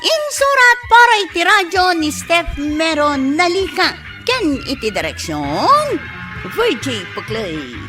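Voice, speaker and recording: Filipino lady radio announcer, ilocano accent, high swooping voice over music, reading credits. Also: huge pitch swings, slow pace. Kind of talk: scripted closing credits announcement.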